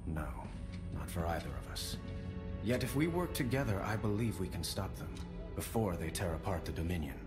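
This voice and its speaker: Casting: regal young man in command, fairly low pitch, formal and poised, video game drama. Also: animated, music in the background. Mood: grave. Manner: measured, formal, courteous. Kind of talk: video game cutscene acting.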